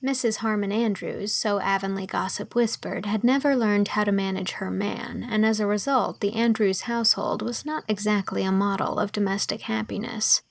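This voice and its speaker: A woman's voice: medium pitch, steady tone, moderate pace, vocal fry, few pauses, no echo. A woman reading scripted storybook narration as an audiobook narrator.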